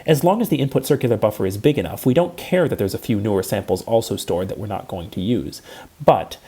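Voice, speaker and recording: Mellow, slightly husky male lecturer. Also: lowish voice, animated. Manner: measured, explanatory, precise. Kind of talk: scripted technical lecture narration.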